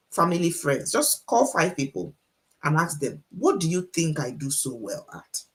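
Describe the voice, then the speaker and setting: Nigerian woman with a gravelly low voice. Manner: encouraging, repeats key lines, asks rhetorical questions; speaks deliberately so listeners can follow. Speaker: author and motivational speaker. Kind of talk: motivational livestream talk.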